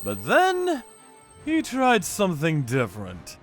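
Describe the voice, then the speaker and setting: A man's voice: fairly high pitch, huge pitch swings, slow, fairly bright tone, soft background music. A man narrating a manga story in the first person with a theatrical, cocky delivery.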